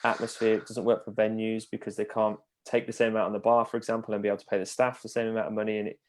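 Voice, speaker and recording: A man with a low voice hosts a relaxed interview, talking at a fast clip. He jokes around and laughs easily between points. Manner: quick, joking, laughs easily. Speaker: interview host, promoter. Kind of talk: casual interview chat.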